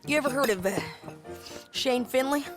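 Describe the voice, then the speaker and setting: An actress playing a hardened, wry frontier bounty hunter in a western video game, drawling with an American Southern accent. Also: female voice, high-pitched, measured pace, music in the background. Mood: bitter, matter-of-fact.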